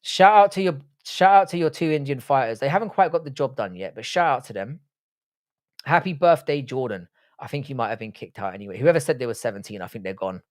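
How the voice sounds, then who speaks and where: A man's voice: mid-range voice, lively intonation, fast talker. A man hosting a casual livestream, reading chat aloud and bantering, swearing freely.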